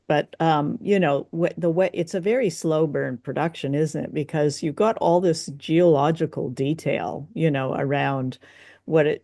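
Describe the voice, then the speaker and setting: A woman's voice: low-pitched, mellow. Inquisitive woman hosting a casual review. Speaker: podcast host.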